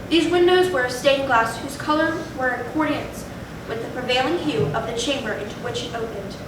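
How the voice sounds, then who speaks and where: A woman giving a dramatic stage reading of a gothic story. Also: brisk. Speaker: stage reader. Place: indoor theatre, far from the mic. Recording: echoey, noisy theatre with rumble, distant.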